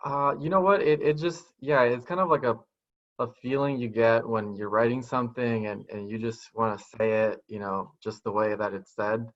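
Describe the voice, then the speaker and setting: A man in his thirties, a native Spanish speaker, answering interview questions in a soft-spoken, thoughtful way, speaking from a small room at home over a video call. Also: medium pitch, fairly even intonation, normal speed. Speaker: guest poet. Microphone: video call webcam. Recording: dull lo-fi video call audio.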